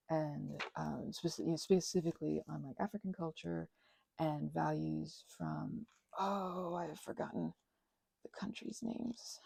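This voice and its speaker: A woman rambling casually and unscripted while crafting, halting and wandering off on tangents, with excitable, gushing exclamations. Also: on the low side, vocal fry, husky, no room sound. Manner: halting, digressive, with gasps and exclamations. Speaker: craft and ASMR channel creator.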